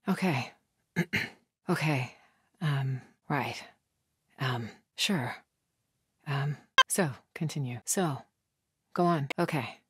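A woman's voice: very deep voice, very slow, long pauses, slightly rough, full character voice. A woman voicing a wary, fierce female cult soldier in a video game, in short, urgent barks. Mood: low, hesitant. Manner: short, urgent, terse phrases.

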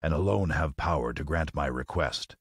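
A man's voice: extremely low pitch, normal speed, breathy edge, no echo, clean studio sound, exaggerated voice. A man voicing a cowardly lion who talks big but is timid at heart, in a storybook reading. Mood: humble, pleading.

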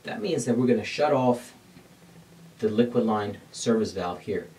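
A middle-aged man teaching an HVAC lesson at a glass board in a dark studio, explaining each step and answering his own questions. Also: lowish voice, normal speed, far from the mic. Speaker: HVAC instructor. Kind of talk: whiteboard technical lesson.

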